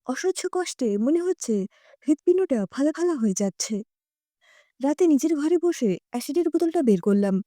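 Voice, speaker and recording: High, very mellow woman storyteller. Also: lively intonation, moderate pace, no echo, studio quality. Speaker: audio story narrator. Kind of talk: dramatic first-person story narration.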